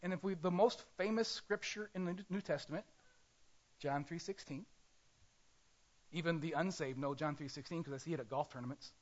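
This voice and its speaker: A male pastor preaching a sermon in a conversational way, often pausing and correcting himself. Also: on the high side, highs cut off.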